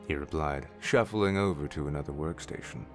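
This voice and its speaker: A man's voice: a bass voice, fairly quick, faint music behind. A man narrating a scripted story in the third person.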